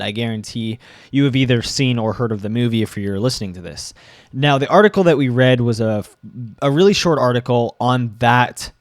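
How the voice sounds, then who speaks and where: Young male vlogger with a cold, stuffed up; agitated rant with lots of restarts, middle pitch, dry close sound. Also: no room sound. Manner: agitated, halting with frequent restarts and fillers. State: sick, a bit congested. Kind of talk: ranting commentary.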